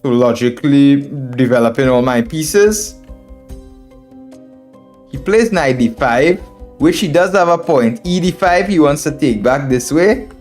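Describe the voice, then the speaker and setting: A man from Trinidad with a Trinidadian accent streams live game commentary at a middling pitch. He thinks his moves through out loud, often repeating a phrase, and talks to viewers as friends. Faint music plays behind him. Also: animated, unhurried. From Trinidad and Tobago. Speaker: chess streamer and instructor. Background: background music.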